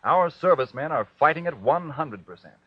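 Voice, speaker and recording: A man as an earnest, insistent wartime radio pitchman, exhorting listeners with emphatic rhetorical questions. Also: expressive, a little fast, husky, no echo. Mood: earnest, urgent. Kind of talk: 1940s radio announcer appeal. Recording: dull, dry old radio recording.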